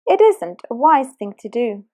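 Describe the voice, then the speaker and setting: A woman reading a rhyming children's picture book aloud in an animated, sing-song way. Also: a little high, a little slow. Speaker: storybook reader.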